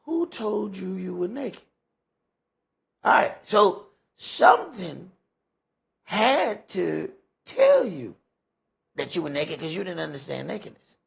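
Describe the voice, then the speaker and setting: Male Bible teacher, heavy vocal fry and rough husky tone, emphatic, folksy sermon delivery. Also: high-pitched, sing-song, slow pace, pauses often. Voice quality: gravelly, husky. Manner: emphatic, rhetorical questions, folksy phrasing. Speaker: preacher leading a Bible study. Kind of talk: conversational sermon with scripture commentary.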